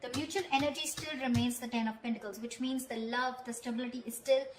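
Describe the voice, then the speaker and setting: A woman's voice: on the high side, steady tone, quick pace, soft background music. A woman giving an improvised tarot reading, talking directly to the listener in a conversational way and often checking in. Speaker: tarot reader. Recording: echoey room with faint background noise.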